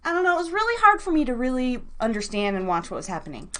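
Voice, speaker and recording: Casting: female live radio and podcast host, higher-pitched voice, chatty, slangy, loose and rambling delivery. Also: huge pitch swings, fast talker, fairly bright tone. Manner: informal, slangy, rambling, addresses listeners directly. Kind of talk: casual, chatty live show talk.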